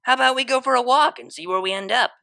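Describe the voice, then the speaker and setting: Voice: mid-range voice, fast, vocal fry, sharp tone, voice pushed up. A narrator voicing a laid-back, teasing young female unicorn DJ in a story, with casual, slangy phrasing. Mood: breezy.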